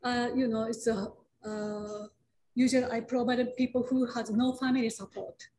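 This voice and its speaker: A woman whose first language is Japanese, a case manager speaking on a panel over a video call, halting with many fillers and restarts. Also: a little high, fairly even intonation, darkish tone, poor audio.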